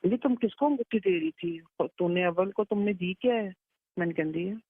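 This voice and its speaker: A woman's voice: mid-range voice, normal speed, breathy edge, no room sound. A woman with a Pakistani Punjabi accent in a casual private phone conversation, recounting what was said by quoting it. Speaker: daughter on a phone call. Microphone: telephone. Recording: narrow, muffled leaked phone line.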